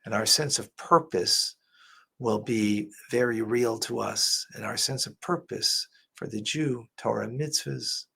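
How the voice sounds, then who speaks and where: A man's voice: lowish voice, a little slow, vocal fry, bright, forceful tone, husky. A man, a rabbi, giving earnest religious commentary as he reacts to a clip. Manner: earnest, preacher-like teaching.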